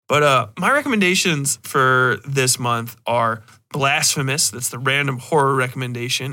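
Bright, forceful-voiced man chatting casually. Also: mid-range voice. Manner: profane, emphatic. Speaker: podcast host. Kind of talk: casual rambling chat.

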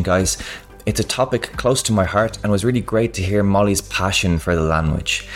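Deep-voiced Irish man hosting a podcast. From Ireland. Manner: earnest, hesitant with fillers and restarts. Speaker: podcast host. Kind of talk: conversational podcast interview.

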